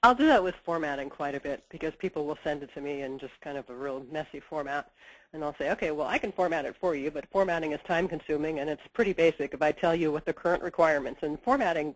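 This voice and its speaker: A woman's voice: low voice, fast, dry recording, rough recording. A woman editor with a head cold, giving practical explanations in a webinar interview and often saying "you know". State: stuffed up with a cold. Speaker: book editor.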